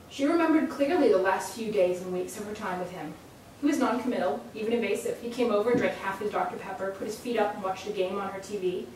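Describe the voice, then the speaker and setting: Casting: female author at a live reading, narrating prose fiction, mid-pitched, recorded from afar in a reverberant room. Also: a little mellow. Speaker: author reading at a literary salon. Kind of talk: live literary short story reading. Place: indoor salon event, recorded live. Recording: very echoey room, distant mic, faint noise.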